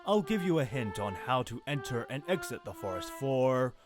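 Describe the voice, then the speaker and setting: An actor playing a sly, greedy animal villain in an audio drama. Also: male voice, mid-range voice, very animated, normal speed, over music, lightly acted. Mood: sly, haggling.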